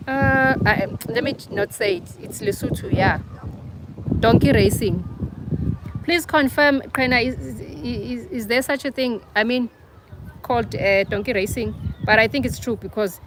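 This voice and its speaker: A woman's voice: on the high side, a little slow, background noise. A young South African woman with a South African accent, hosting a casual livestream and chatting with viewers, teasing them by name. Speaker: livestream host. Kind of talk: casual live chat with viewers.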